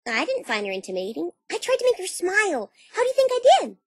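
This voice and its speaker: A woman voicing a bubbly, scatterbrained young female pony in a cartoon dub, excitable and naive. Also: very high-pitched, fast talker, no echo, studio quality, heavily acted. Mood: chirpy. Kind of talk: cartoon fandub acting.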